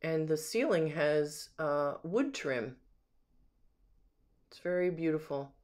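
Home sales agent, mid-range pitch, casual walkthrough, admiring, unscripted, handheld camera in empty rooms. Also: expressive, slow pace, darkish tone. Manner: admiring, unscripted item-by-item describing. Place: indoors, rooms of an empty house.

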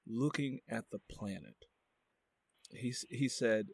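A man's voice: mid-range voice, takes it slowly, creaky, breathy edge, no room sound. A man hosting an interview podcast, speaking earnestly and repeating phrases for emphasis. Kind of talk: casual interview conversation.

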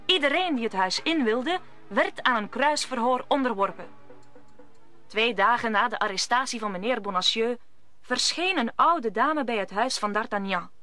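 Female narrator for a radio play: scripted storytelling, bright tone, slightly high. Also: dry recording.

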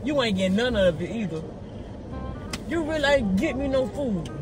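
A woman's voice: fairly high pitch, big pitch swings, normal speed, over music. A young woman in a parked car insistently pleading with her husband for his food, recorded on a dashboard camera. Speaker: wife in a prank video. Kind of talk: casual bickering. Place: inside a car.

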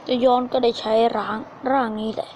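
A woman improvising a story with acted character lines, speaking haltingly and repeating phrases, on an amateur phone recording. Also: a little high, fairly even intonation, slight background noise.

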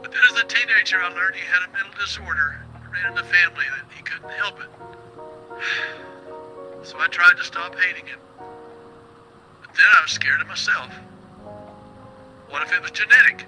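A high-strung, melancholy late-night radio host in a game's radio drama. His voice is high and very bright, with halting, easily flustered delivery. Music plays behind him. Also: rough recording. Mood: pained confession. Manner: halting confessions, exasperated outbursts. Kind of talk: radio drama acting in a game.

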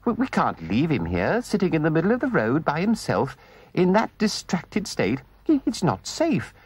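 A man's voice: mid-range voice, huge pitch swings, unhurried, gravelly, slightly rough, dry recording, exaggerated voice. A man voicing a timid male mole who talks in an anxious, fretful way, as part of a storybook reading.

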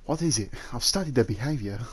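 A man playing a male dragon in human form, an eager, possessive suitor talking softly and haltingly to the listener in a roleplay audio drama. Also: mid-range voice, lively intonation, a little hiss or hum, close to an everyday voice. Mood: tender, apologetic.

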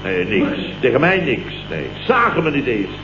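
An actor from the Netherlands playing a blustering, indignant company boss in a radio comedy, telling tales in clipped, mock-dramatic sentences with a Dutch accent. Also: male voice, mid-range voice, huge pitch swings, normal speed, music in the background. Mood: mock-dignified. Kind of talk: 1960s-70s radio comedy acting. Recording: muffled old radio recording, noisy, roomy, hum.

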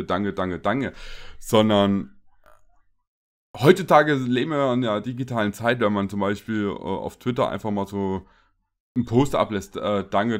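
Rambling young man commenting on a video. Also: fairly low pitch. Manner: rambling, chatty, jokey asides. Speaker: streamer reacting to a video. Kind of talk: casual reaction commentary.

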